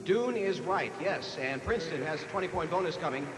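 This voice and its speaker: An American man reads questions as the host of a live televised quiz. His voice sits a little high. It rings around an echoey hall on a noisy old broadcast. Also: animated, fairly quick. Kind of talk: live quiz show hosting, reading questions.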